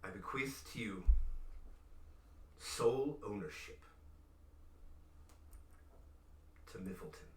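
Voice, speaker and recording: A man voicing a gracious, regal king in a tabletop game, speaking in measured, formal phrases. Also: fairly low pitch, lightly acted. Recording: roomy, distant mic with faint noise.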